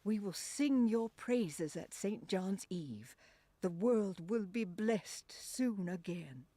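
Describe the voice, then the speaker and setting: An actress voicing a devout 1920s woman reading her prayer diary aloud in a hushed, reverent voice that drifts into whispers. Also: mid-range voice, expressive, slow pace, dry recording. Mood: hushed prayerful. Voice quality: husky and gravelly. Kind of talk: game voice-over narration.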